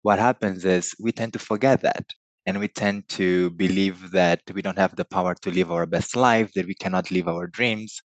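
Portuguese-accented man, low earnest voice. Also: steady tone, normal speed, no room sound, low-quality recording. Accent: Portuguese-accented English. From Mozambique. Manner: earnest, laughs often between thoughts. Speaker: guest, business consultant. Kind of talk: relaxed interview conversation.

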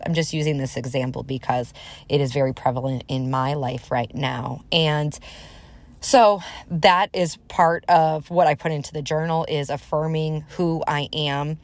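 A woman in her thirties hosting a solo motivational podcast, speaking straight to her listeners in an encouraging, conversational way. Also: low-pitched. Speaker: podcast host.

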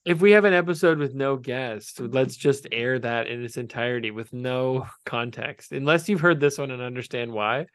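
A man in his forties hosts a casual podcast, teasing his co-host and rambling through long asides, quick to laugh. His voice is mid-pitched and sounds close and dry, with no room around it. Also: clean tone, no room sound. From Canada. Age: 40s. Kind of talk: casual chat.

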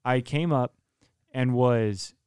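A man's voice: mid-range voice, slow pace, darkish tone, smooth, dry recording, studio quality. A young man and worship leader telling a story in casual podcast chat, earnest and often restarting his sentences.

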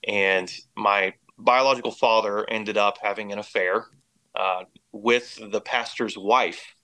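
A man's voice: on the low side, steady tone, unhurried, bright, forceful tone, poor audio. An American man giving personal testimony as an interview guest, speaking in an earnest, reflective way. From Indiana and Oklahoma, United States. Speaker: podcast guest.

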